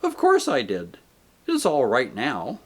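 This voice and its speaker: A man voicing a radiant male spirit who answers calmly and patiently. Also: mid-range voice, a little slow. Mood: serene. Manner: calm, patient, gently reassuring. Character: radiant joyful male spirit, calm and gentle.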